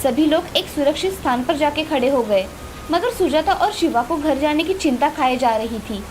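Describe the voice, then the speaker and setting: A woman's voice: high-pitched, animated, normal speed. A woman narrating a scripted moral story as a storyteller. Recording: clearly noisy, with ambience behind.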